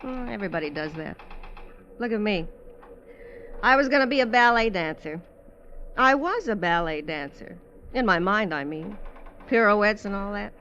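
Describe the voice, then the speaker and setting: A woman's voice: mid-range voice, animated, normal speed, long pauses, fairly bright tone, a little hiss or hum. An actress in a 1950s radio drama, playing and narrating as a wry, streetwise, colloquial showgirl castaway. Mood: wistful, probing. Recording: dull vintage radio recording.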